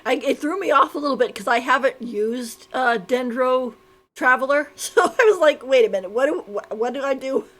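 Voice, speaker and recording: A woman's voice: high voice, moderate pace, fairly bright tone. A woman giving casual, chatty live commentary as a game streamer.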